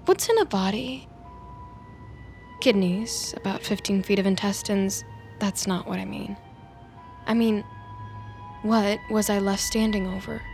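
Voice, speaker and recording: A woman's voice: medium pitch, a little slow, creaky, pauses often, a little mellow. An actress playing a mousy, self-pitying young woman in a confessional audio drama monologue, wry and self-justifying. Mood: brooding. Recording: music under the voice. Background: music.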